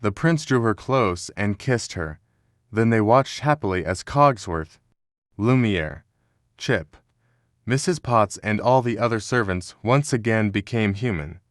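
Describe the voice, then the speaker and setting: Male storybook narrator, scripted read, fairly deep, measured drama, no room sound. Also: lively intonation, no echo, studio quality.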